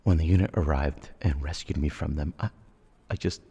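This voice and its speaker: A man playing a menacing, traumatized war veteran turned killer suspect in an audio drama, with a gravelly, raspy voice and a halting, brooding delivery. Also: rumbling low, dark tone, muffled recording, heavily acted. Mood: shaken. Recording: long cavernous reverb.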